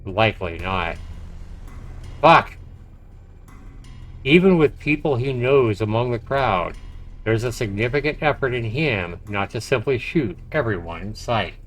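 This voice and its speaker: A man's voice: mid-range voice, expressive, unhurried, long pauses. A man livestreaming a game, reading its narration aloud dramatically with casual, profane asides. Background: faint game music.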